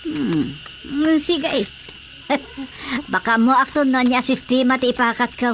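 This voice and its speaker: A woman's voice: high voice, a little slow, fairly bright tone, a little hiss or hum. A Filipino woman playing an inquisitive, practical village wife in a comedic radio drama, speaking conversationally. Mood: curious.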